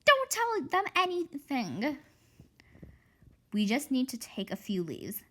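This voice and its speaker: Woman as imaginative, bossy kid heroine for a storybook: urgent, insistent, very animated delivery. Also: sits high, slow pace, pitched above the performer's own voice, exaggerated voice. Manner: insistent, urgent, sure of herself. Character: bossy, excitable, imaginative young girl schemer. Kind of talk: children's book read-aloud character voice.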